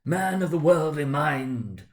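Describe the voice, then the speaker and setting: A man voicing a mournful male ghost in a dramatic storybook reading, with a slow, drawn-out, wailing solemnity. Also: fairly high pitch, lively intonation, pitched above the performer's own voice. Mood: grave, commanding. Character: mournful male ghost of a dead business partner.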